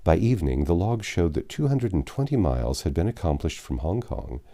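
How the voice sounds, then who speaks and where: A man with a low, dark voice reads a classic adventure novel aloud. His delivery is expressive and measured, with lively intonation and dramatically stretched words. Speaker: audiobook narrator.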